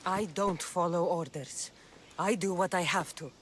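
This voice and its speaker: A woman's voice: fairly low pitch, unhurried, slight background noise, natural voice. An actress playing a defiant, self-assured female mercenary in a video game cutscene. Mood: determined.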